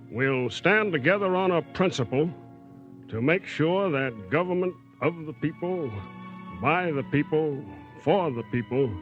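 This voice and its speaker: A man delivering solemn, earnest oratory in a deliberate 1940s radio style. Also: fairly high pitch. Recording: muffled old radio broadcast with music.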